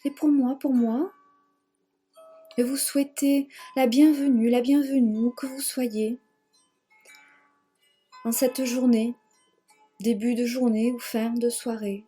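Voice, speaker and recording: A woman leading a guided yoga nidra relaxation in a gentle, measured manner, leaving long pauses and repeating phrases. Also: on the high side, fairly even intonation, husky, faint music behind. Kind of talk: guided meditation, slow spoken relaxation.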